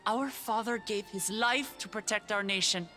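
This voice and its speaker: Woman acting a bright, solemn young female ruler. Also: medium pitch, close to an everyday voice. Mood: resolute. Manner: solemn, earnest, measured public oratory. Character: resolute young female ruler rallying her grieving people. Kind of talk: dramatic video game cutscene acting. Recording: background music under the voice.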